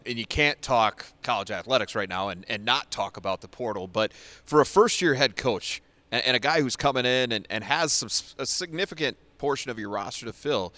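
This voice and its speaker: A man with a mid-pitched voice interviewing someone about sports. He asks questions in a sit-down setting, and the sound is dry with little room in it. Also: bright, forceful tone, no room sound.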